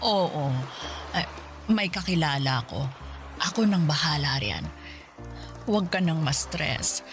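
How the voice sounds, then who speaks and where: A woman's voice: low voice, animated, slow pace, soft background music. An actress playing a doting, indulgent girlfriend in a Tagalog radio drama, babying her lover with soothing, cooing talk. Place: studio recording with music behind.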